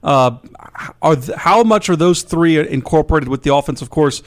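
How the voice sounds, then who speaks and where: A man's voice: on the high side, animated, normal speed. A man co-hosting a sports radio show, putting conversational interview questions to a guest.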